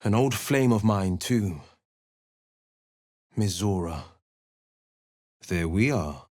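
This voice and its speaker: A dashing, dutiful young warlock hero delivers earnest clipped lines in a video game. His pitch rises and falls freely while he keeps an unhurried pace. The studio sound is close and dry. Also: animated, darkish tone, no echo. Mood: resolute. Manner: earnest, crisp one-liners. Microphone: close studio mic.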